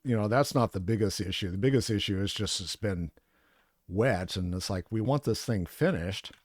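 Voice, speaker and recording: A man with a low voice chats loosely while gaming, trailing off and restarting his sentences as he goes. The recording is dry and close, with no room sound. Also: moderate pace, no room sound. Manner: rambling, many fillers and restarts. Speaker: co-host playing an online game. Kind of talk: casual gaming chat.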